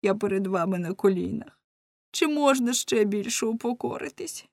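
A woman's voice: deep voice, moderate pace, gravelly, a little mellow, no echo, studio quality. A woman voicing a big, timid, faithful husband in a storybook, stubborn and plaintive, with a pleading manner. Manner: pleading, whining.

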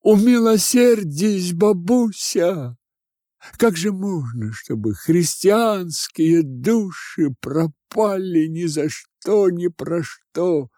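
A cheeky young seminary student acted by a man in a storybook tale. His voice is pitched high and swings about as he pleads and wheedles for favours. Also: lively intonation, very slow, dark tone, no room sound, studio quality, exaggerated voice. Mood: pleading. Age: young adult.

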